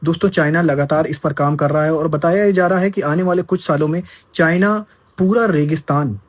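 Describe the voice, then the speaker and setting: Male news commentator, husky voice, dry close sound, friendly direct address. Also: fairly high pitch, a little fast, dry recording. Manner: addresses viewers as friends, rhetorical questions.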